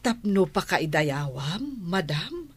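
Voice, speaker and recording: A devoted household nanny in an Ilocano radio drama. She speaks slowly in a husky, slightly low voice with a Filipino Ilocano accent. She is respectful to her mistress yet firm and pleading. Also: very animated, heavily acted. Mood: earnest, reproachful.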